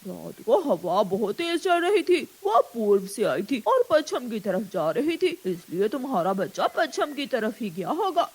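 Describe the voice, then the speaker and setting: A woman's voice: fairly high pitch, sing-song, normal speed, hardly any pauses, a little mellow, slightly rough, voice pushed down. A woman voicing a lazy but helpful male crow neighbour in a cartoon, good-natured and a bit simple. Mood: matter-of-fact.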